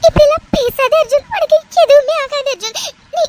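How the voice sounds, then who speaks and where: A woman's voice: extremely high pitch, moderate pace. A woman playing a distraught, devoted young woman in an audio drama, crying and pleading over her injured beloved. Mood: desperate, tearful devotion. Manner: tearful, pleading, repetitive begging. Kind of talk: melodramatic Tamil audio drama acting. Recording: noisy recording with clicks.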